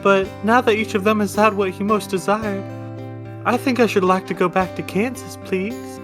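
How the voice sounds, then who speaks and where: A man's voice: high voice, steady tone, moderate pace, voice pushed up, close to an everyday voice. A man voicing a polite, earnest little girl in a storybook fantasy game, with music behind. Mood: earnest, hopeful. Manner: polite, earnest, formal phrasing.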